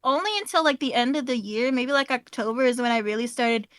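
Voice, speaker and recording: Young female guest, high voice, chatty and hedging, dry close sound. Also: fast talker, dry recording. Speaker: streamer guest. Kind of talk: casual interview chat.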